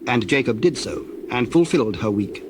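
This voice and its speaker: A man with a medium-pitched voice narrates scripture. His delivery is formal and measured, like scripted storytelling. Birdsong and cooing doves run clearly underneath. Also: animated, background noise.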